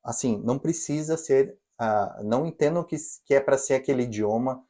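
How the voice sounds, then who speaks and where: A man's voice: medium pitch, normal speed, warm tone. A Brazilian man co-hosting a podcast, explaining and advising listeners directly in a casual chat. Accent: Brazilian Portuguese. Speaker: podcast co-host. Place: living in Canada.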